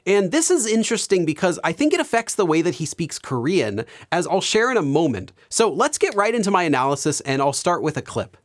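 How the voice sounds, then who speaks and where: A man teaching Korean on his video channel, explaining things clearly and laughing often. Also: sits high, very animated, brisk, few pauses. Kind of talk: educational video commentary.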